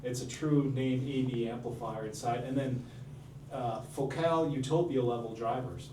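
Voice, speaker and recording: A man's voice: mid-range voice, moderate pace, recorded from a distance. A man giving casual technical product details as an audio dealer. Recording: roomy, off-mic, faint background noise.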